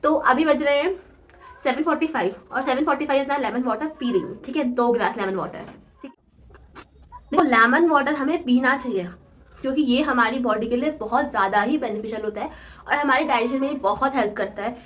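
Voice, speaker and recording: A woman's voice: high voice, fairly quick, a little hiss or hum, poor audio. A young woman in her twenties talking through her daily routine in a chatty, encouraging way, filmed at home by a camera in front of her. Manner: chatty, encouraging, keeps saying "theek hai". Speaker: vlogger. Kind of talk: daily routine vlog, motivational chat. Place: small room at home, sitting on bed.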